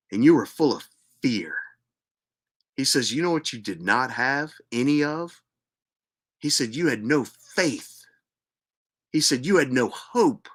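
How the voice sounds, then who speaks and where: A man earnestly telling a personal spiritual story as host, repeating himself for emphasis and sometimes dropping to a hushed voice. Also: mid-range voice. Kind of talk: personal testimony monologue.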